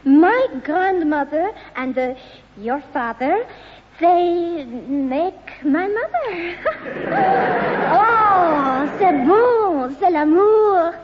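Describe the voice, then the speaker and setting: A sweetly romantic young Frenchwoman in a radio comedy. Her French-accented voice is extremely high and unhurried, on a reverberant old recording. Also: animated, lo-fi sound, noisy background, heavily acted. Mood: delighted, romantic. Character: romantic, delighted young Frenchwoman, innocent and affectionate. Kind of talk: radio sitcom acting.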